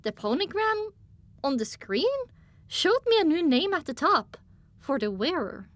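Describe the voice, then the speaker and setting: A woman's voice: high voice, sing-song, measured pace, fairly bright tone, no room sound. A woman narrating a story in the first person in a dramatic audiobook style.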